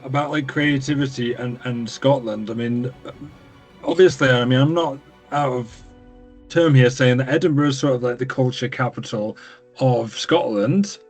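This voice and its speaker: A man's voice: mid-range voice, normal speed, soft background music. A man co-hosting a podcast, talking casually and hesitantly with many fillers. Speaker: podcast co-host. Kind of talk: casual conversation.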